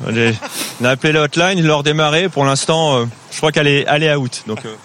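A man's voice: a little high, fast talker, fairly bright tone. A man answering a roadside vox pop in a casual, easygoing, matter-of-fact way. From Oise, France. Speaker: interviewed holiday driver. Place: roadside charging station. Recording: light background noise on location.

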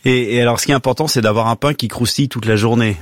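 A man's voice: medium pitch, fairly even intonation, dry recording. Guy hosting a radio call-in, chatty, lots of ouais and mm, dry close mic. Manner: chatty, encouraging, quick affirmations. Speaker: radio host. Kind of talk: radio phone-in interview.

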